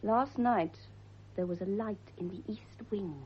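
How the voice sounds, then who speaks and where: A woman acting a well-bred, emotional young woman in a radio drama, with an upper-class English accent and a husky voice. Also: medium pitch, a little slow. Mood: uneasy. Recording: dull, lo-fi radio recording.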